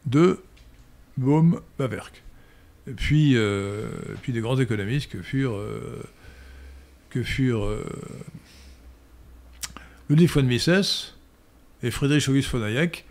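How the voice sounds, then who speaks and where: An older man answering listener questions in a professorial, hesitant manner with frequent pauses and restarts, recorded on a stand mic in a study. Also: medium pitch, creaky. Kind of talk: lecturing Q&A answers. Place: study room indoors. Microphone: studio mic on stand.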